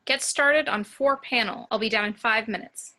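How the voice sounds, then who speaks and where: A woman reading a brisk, capable spaceship warrant officer at an amateur table read. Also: a little high, pushed and bright.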